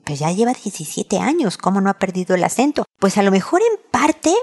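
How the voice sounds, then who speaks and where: A woman's voice: medium pitch, big pitch swings, brisk, a little mellow. A middle-aged Mexican woman, a psychologist hosting a podcast, reading listener letters and answering with reassuring advice and frequent tag questions.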